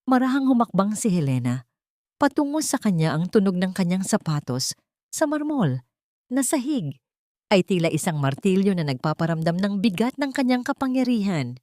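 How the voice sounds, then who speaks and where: A woman narrating a scripted dramatic story in a measured, solemn manner. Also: medium pitch, very animated, darkish tone, dry recording, studio quality.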